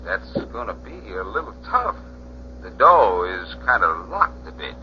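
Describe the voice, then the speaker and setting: Sly male blackmailing porter, taunting street slang, animated pitch, old radio crime drama, muffled hissy recording. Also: a little slow. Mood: sly, menacing.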